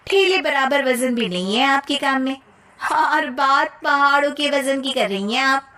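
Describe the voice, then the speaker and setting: A woman voicing a sharp-tongued young daughter-in-law with sarcastic, quick retorts. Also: sits high, lively intonation, pitched above the performer's own voice, full character voice.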